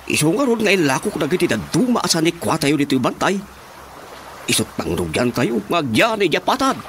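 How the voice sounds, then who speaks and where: A man's voice: on the high side, very animated, a little fast, noisy background. A man with a Filipino Ilocano accent playing a bossy, scolding, practical rustic father in a radio drama comedy. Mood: insistent.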